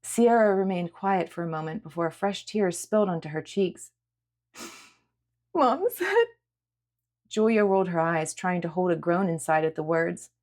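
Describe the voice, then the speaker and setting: A woman's voice: fairly low pitch, steady tone, a little fast, hardly any pauses, darkish tone. A woman reading scripted dramatic fiction as an audiobook narrator.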